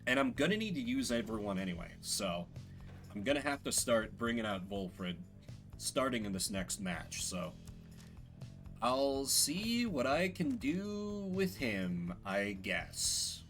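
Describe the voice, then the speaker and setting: A man's voice: mid-range voice, big pitch swings, slow, a bit bright. A man streaming a video game, giving casual commentary as he thinks aloud. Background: game music.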